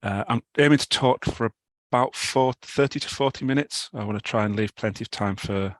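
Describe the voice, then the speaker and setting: A middle-aged British man with a cold, giving a talk over a video call, halting with many restarts. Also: medium pitch, no echo. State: has a cold, clears throat. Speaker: guest speaker. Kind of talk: online talk presentation.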